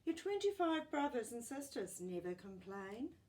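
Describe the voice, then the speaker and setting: A fretful snail parent from a picture book, acted out by a woman, scolds with worried disapproval. The pitch leaps upward and the delivery is very animated. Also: normal speed, recorded from a distance, rough recording. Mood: disapproving.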